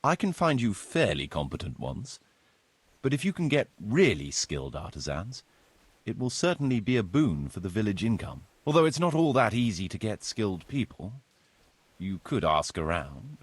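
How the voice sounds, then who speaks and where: Medieval steward, low husky voice, very lively intonation, formal, practical, instructive, video game dialogue. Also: male voice, normal speed, no room sound. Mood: helpful, matter-of-fact. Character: practical medieval village steward, courteous, businesslike adult man.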